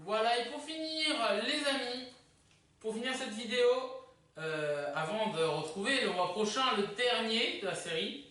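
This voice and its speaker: A presenter casually hosting a countdown video, chatty and halting, with self-corrections. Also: mid-range voice, animated, bright, forceful tone, soft background music. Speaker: host of a countdown video channel. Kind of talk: casual presenting of a ranking list to camera. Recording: echoey room, distant mic.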